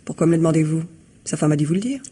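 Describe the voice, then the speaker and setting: Husky, defiant, guarded secretary. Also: female voice, low-pitched, lively intonation, racing, dark tone. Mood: cool, defiant. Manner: curt, self-possessed, parrying questions. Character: cool, defiant, guarded female executive secretary. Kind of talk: French radio mystery drama acting.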